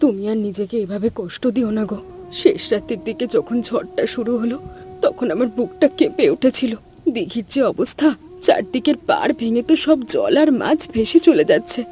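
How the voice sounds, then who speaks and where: A woman plays a tender young village wife in a cartoon, her voice high but mellow and warm. She pleads softly and anxiously with her husband. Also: animated, normal speed, close to an everyday voice. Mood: pleading. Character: gentle devoted young fisherman's wife. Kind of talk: cartoon voice acting.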